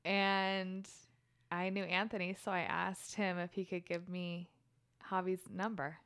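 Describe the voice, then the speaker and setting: A woman in her thirties chatting casually as a podcast guest, laughing often and adding quick asides. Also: on the low side, little pitch movement, a bit bright, clean tone. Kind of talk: casual chat.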